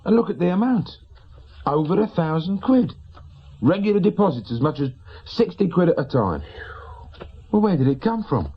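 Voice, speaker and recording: An actor playing a grieving, insistent widowed shopkeeper in a radio drama, with a working-class English accent and plain colloquial speech. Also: male voice, high voice, sing-song, normal speed, long pauses, breathy edge, lo-fi sound, full character voice. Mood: troubled. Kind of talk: naturalistic radio drama acting.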